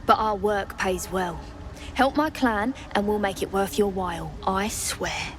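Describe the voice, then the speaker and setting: An actress playing an injured, earnest dwarf artificer in a fantasy game, blunt and weary in manner. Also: female voice, medium pitch, a little slow, slight background noise, close to an everyday voice. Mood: urgent, guarded.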